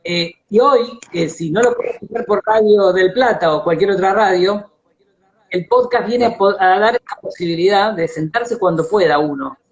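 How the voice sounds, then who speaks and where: A man with an Argentine Rioplatense accent interviewing casually, halting and repeating words as he searches for phrasing. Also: high-pitched, lo-fi sound.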